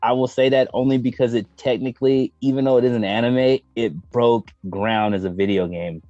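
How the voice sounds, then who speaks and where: A man chatting casually as a gaming show host, slangy and opinionated, given to excitable interjections. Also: mid-range voice, fairly quick. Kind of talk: casual opinionated chat about video game movies. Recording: dull, echoey room, faint music behind.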